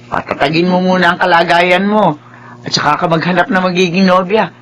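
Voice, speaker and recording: A woman's voice: lowish voice, fairly quick, lightly acted. A woman acting an affectionate older mother who nags and chides, in a Filipino radio drama. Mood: chiding. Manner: chiding, expressive. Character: nagging, affectionate older mother longing for grandchildren.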